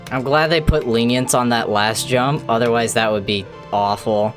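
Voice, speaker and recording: A man's voice: mid-range voice, moderate pace. A man live-streaming a game, talking casually and loosely to his viewers. He rambles from one thought to the next, answers chat, and swears now and then. Faint game music plays underneath. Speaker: gaming streamer. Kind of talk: casual live-stream commentary while playing.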